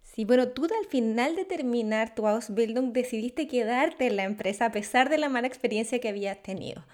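A woman interviewer asking several measured questions in a row. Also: a little high, animated, darkish tone.

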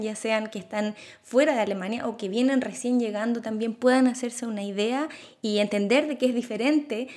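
A Chilean woman with a fairly high voice hosts an interview. She asks long, carefully framed questions in a conversational tone. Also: darkish tone. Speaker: host.

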